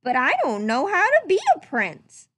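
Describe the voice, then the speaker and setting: A woman voicing a cheerful, humble young male mouse peddler in a children's storybook, high and exclamatory. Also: wildly swooping pitch, moderate pace, fairly bright tone, no room sound, full character voice. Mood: unsure. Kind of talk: children's storybook read-aloud.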